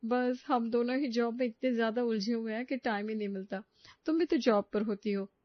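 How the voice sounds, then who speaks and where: Woman plays chatty young working woman, cartoon story; fairly high voice, irritated, complaining, dry recording. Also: a little fast, no room sound, highs cut off, natural voice.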